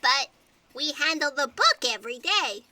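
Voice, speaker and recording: An actress playing an excitable, naive female fact checker in a comedic audio drama, melodramatic in manner. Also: high voice, moderate pace, no room sound, recorded from a distance, heavily acted. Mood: indignant. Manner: melodramatic, sighing and gasping.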